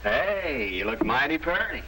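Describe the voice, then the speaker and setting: An actor playing a cocky, teasing American big brother, slangy and wisecracking, in a 1950s sitcom-style film. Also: male voice, a little high, very animated, measured pace, sharp tone, full character voice. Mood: jaunty. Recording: noisy vintage 1950s film soundtrack.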